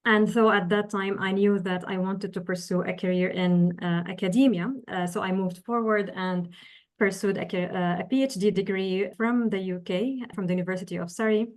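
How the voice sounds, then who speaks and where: Earnest woman, measured and pausing. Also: medium pitch, fairly even intonation. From Syria, raised in the UAE. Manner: measured and earnest, with many pauses as she explains. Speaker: guest, engineering professor. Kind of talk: podcast interview answers.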